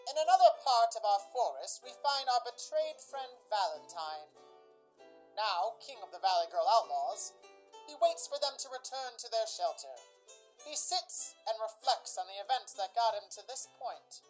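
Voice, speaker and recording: A woman narrating bright, playful stage directions for an amateur radio drama. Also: on the high side, lively intonation, moderate pace, faint music behind, poor audio.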